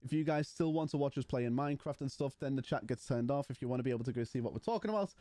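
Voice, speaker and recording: A man's voice: mid-range voice, very fast, warm tone, dry recording, studio quality. A man, casually chatting and bantering with his livestream chat. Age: 20s. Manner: banters with chat, mocking and playful. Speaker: streamer.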